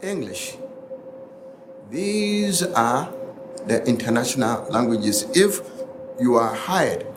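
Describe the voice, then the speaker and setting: A man's voice: medium pitch, very animated, slow, pauses often, music in the background. Ghanaian man lecturing in an echoey classroom. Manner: emphatic, repeating phrases for stress. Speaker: college lecturer. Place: classroom, indoors. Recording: echoey classroom, noisy, room ambience.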